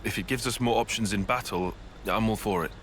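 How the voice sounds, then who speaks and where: A man's voice: fairly low pitch, a little fast, fairly bright tone, slight background noise, lightly acted. A man voicing a serious, pragmatic young soldier in a video game cutscene, dry and matter-of-fact.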